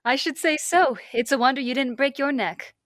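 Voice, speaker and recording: A woman's voice: high-pitched, normal speed, a bit bright, no echo, clean studio sound. A young woman playing an alert, caring space lieutenant in a classic radio drama. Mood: concerned.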